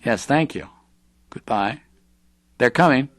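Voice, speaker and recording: An actor playing a hesitant, smitten reporter in an audio drama, with a halting, stammering delivery. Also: male voice, medium pitch, lively intonation, fairly bright tone, no room sound, clean studio sound. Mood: uneasy. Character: hesitant, smitten male reporter living with his girlfriend.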